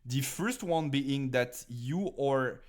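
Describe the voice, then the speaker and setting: A man's voice: medium pitch, lively intonation, slow. A young man from France with a French accent, streaming casually and chatting with viewers about card game decks, rambling with many fillers and restarts.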